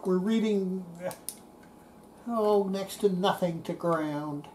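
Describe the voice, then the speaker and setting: A woman's voice: mid-range voice, slow pace, dark tone. An older woman giving casual hands-on commentary at a workbench as a radio restorer, muttering to herself while working. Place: cluttered indoor electronics workbench. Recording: noisy workshop room recording.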